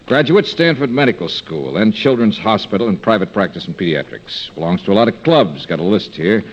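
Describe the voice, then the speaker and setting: An actor playing a wisecracking, smooth-talking private investigator in a 1950s-style radio detective drama. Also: male voice, on the low side, lively intonation, brisk. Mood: brisk, businesslike. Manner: smooth-talking, casual, teasing. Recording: dull, noisy old-time radio recording.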